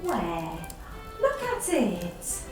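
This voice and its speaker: An actress playing a sweet, eager young Victorian sister with a playful indignant streak and genteel phrasing in a literary drama. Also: female voice, mid-range voice, very slow, full character voice. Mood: playfully indignant. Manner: animated, genteel, old-fashioned phrasing. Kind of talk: stage-style literary radio drama acting. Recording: very echoey, distant, music behind.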